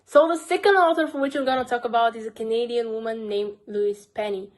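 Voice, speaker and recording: A woman's voice: fairly high pitch, fairly even intonation, brisk. A young woman with a Bulgarian accent, earnestly reviewing her crime book collection in a casual vlog. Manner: earnest, simple phrasing with frequent "basically". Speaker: book vlogger.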